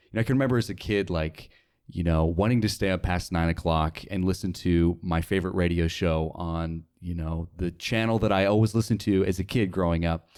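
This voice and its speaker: A man's voice: low-pitched, darkish tone. A young man from North Dakota talking casually as an interview guest. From North Dakota, United States. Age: young adult. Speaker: interview guest, radio sales worker.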